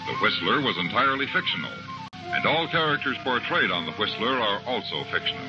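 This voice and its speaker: Formal old-time radio announcer. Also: male voice, medium pitch, lively intonation, fairly quick. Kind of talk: 1950s broadcast credits announcement. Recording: muffled old broadcast with music.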